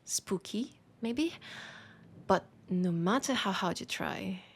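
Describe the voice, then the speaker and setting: A woman with a French accent playing a teasing, curious bookshop keeper in an intimate audio roleplay, speaking softly and musingly and often slipping toward a whisper. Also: mid-range voice, expressive, slow, breathy edge. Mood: teasing, wry. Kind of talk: intimate audio roleplay, soft acted monologue.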